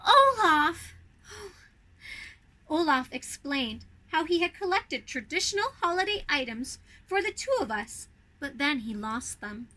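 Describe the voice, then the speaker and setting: A woman reading a storybook to children as a snow queen, expressive and speaking directly to her young listeners. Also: high-pitched, unhurried, low-quality recording.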